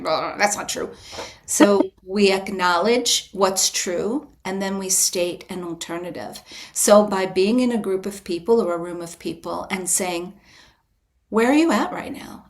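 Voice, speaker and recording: A woman guest on a podcast interview, a writer and retreat leader, explaining her approach in a calm, invitational, measured way with frequent restarts. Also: medium pitch. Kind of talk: reflective interview answer.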